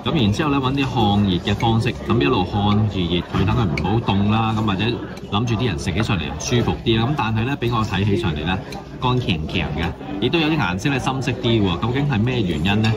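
A man giving a chatty, casual food review voice-over, musing out loud and mildly critical. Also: lowish voice, quick pace.

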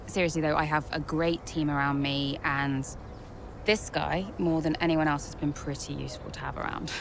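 Over background noise comes a young British woman racing driver in a video game. Her voice sits low, and she banters dryly, cocky and teasing. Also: fairly even intonation, moderate pace, vocal fry. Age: young adult. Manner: dry, playful banter. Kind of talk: video game cutscene acting.

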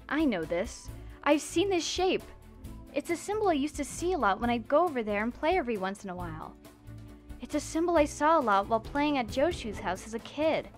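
A woman voicing an agitated young woman who swings between confusion and anger, in a fan dub of a comic. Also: sits high. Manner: anxious, indignant, wavering.

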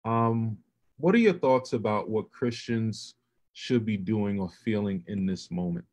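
A man's voice: lowish voice, unhurried, a little mellow. A man hosting an interview over a video call, earnest and affirming as he asks open questions. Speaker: host. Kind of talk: conversational interview.

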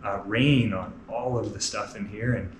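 A man in his thirties, a gym owner telling his story in a relaxed, matter-of-fact way with many fillers. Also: lowish voice, normal speed, slight background noise. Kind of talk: casual storytelling on a walking tour. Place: large indoor gym with murals. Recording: echoey gym room with faint ambience.

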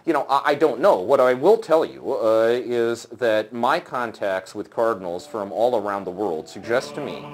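A man's voice: medium pitch, moderate pace, hardly any pauses. A man in his forties, an interview guest, giving fluent, explanatory analysis with occasional stammered restarts, recorded indoors on a lapel mic. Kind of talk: conversational analysis. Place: indoor room with lamp and curtains.

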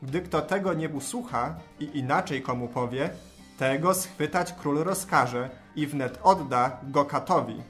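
An actor voicing a clever talking cat in a rhymed fairy-tale audio drama, polite and coaxing in deliberate verse. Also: medium pitch, fairly bright tone, soft background music, natural voice. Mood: commanding. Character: clever, courteous, cunning talking tomcat in boots.

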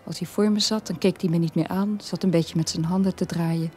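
A woman's voice: fairly low pitch, steady tone, a little fast, a little mellow, faint music behind, lightly acted. An actress playing a troubled middle-aged mother telling her family's story in a radio play monologue. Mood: subdued, shaken.

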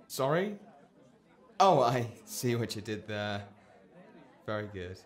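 Actor as slow-talking awkward man on a date. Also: fairly low pitch, big pitch swings. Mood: politely awkward. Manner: hesitant, stilted, dry. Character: polite, awkward, dry male data clerk on a date. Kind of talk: radio play acting, conversational.

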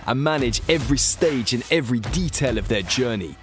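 Man, game trailer voice-over, animated confident delivery, backing music underneath. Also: medium pitch, brisk. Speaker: advert voice-over. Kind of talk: scripted ad narration.

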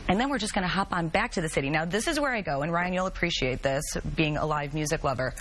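A woman in her thirties from the Chicago area, a guest on a TV talk show, telling stories in a chatty, animated way on a studio set. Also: low voice, fast, slight background noise. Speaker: guest, travel and music blogger. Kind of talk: casual talk-show chat.

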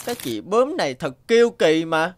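A woman's voice: on the low side, very animated, a little fast, noisy background. A woman voicing a naive, eager young stick insect in a cartoon fable, childlike and earnest. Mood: hurt, envious. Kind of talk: animated fable dialogue. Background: prominent background music.

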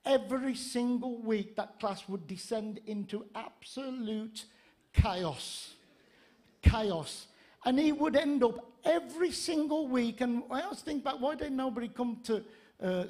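A man in his fifties with a north-east English accent, preaching as a pastor in an animated, conversational storytelling way into a handheld microphone. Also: very high voice, a little slow. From Gateshead, north-east England. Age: 50s. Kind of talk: sermon with humorous anecdotes. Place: church stage, indoors.

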